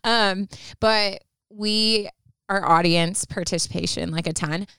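A woman co-hosting a podcast in casual chat, bubbly and animated, with many "like" fillers. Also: medium pitch, slow, clean tone, no room sound. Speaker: podcast co-host.

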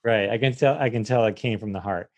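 A man's voice: fairly low pitch, fast, no room sound, muffled recording. Self-deprecating male comedian, dry close recording. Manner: self-deprecating, animated retelling with acted quotes. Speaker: podcaster guest, comedian. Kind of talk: casual comic interview chat.

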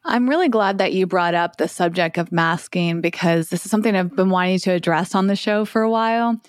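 A woman's voice: mid-range voice, no echo, clean studio sound. A woman hosting a podcast, speaking in an engaged way and thinking aloud as she talks. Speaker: podcast host. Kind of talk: conversational interview.

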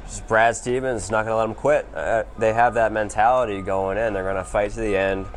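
A man's voice: fairly low pitch, fairly even intonation, fairly quick, a little hiss or hum, poor audio. A man co-hosting a sports show, giving casual basketball analysis in a conversational way.

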